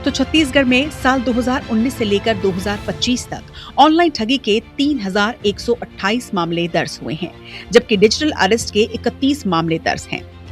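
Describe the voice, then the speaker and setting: A woman reading a scripted news voice-over with punchy, dramatic phrasing. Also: a little high. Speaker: news report narrator. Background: faint music.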